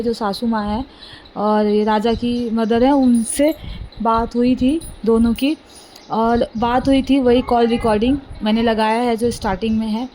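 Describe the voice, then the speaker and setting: A woman recounting a news case informally and unscripted, halting and correcting herself as she explains. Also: on the high side, mellow, a little hiss or hum, low-quality recording.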